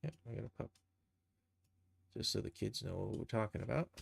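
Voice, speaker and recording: A man giving an informal live software tutorial, thinking aloud and muttering steps to himself as he works. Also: lowish voice, expressive, brisk, creaky, husky. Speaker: live streamer, game and cartoon developer.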